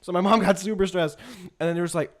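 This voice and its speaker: A man, a comedian, telling a story in casual chat with quick jokes. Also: sits high. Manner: quick, animated, jokey. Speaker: stand-up comedian, podcast guest.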